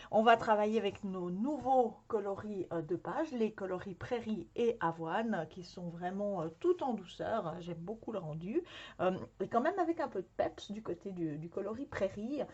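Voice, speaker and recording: A woman's voice: mid-range voice, a little mellow, husky. A woman hosting a scrapbooking workshop, presenting supplies in a friendly, casual way with many hesitations. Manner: friendly, frequent hesitations with "euh". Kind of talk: casual product demonstration. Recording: slightly dull lo-fi sound.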